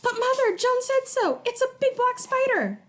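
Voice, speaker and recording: A woman's voice: big pitch swings, normal speed, fairly bright tone, full character voice. A woman voicing a frightened, squealing little girl in a read-aloud story. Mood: frantic. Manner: shrill, shrieking.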